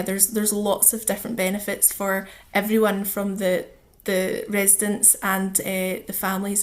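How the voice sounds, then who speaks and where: A woman speaking as a charity officer and interview guest, explaining things in an earnest, conversational way. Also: medium pitch.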